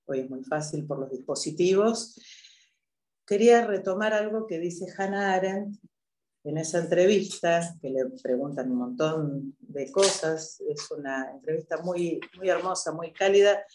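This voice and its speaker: A woman moderating a public event, speaking in a measured way with hesitant filler pauses. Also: lowish voice, darkish tone. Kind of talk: introductory talk at a public event. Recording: echoey room.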